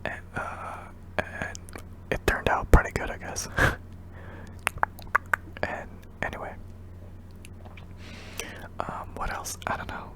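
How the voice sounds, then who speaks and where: A man's voice: slow pace, pauses often, fairly bright tone. A young man from Indonesia, whispering hesitantly with many ums while answering viewer questions in an ASMR video. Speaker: ASMR channel host.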